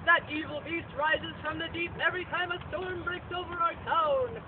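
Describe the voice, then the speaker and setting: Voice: very high-pitched, fairly even intonation, moderate pace. An actor voicing a kindly, earnest clergyman puppet in a theatrical, exclamatory way on a live stage. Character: kindly, earnest good brother, a Viking-era clergyman puppet. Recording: tinny, noisy outdoor stage recording.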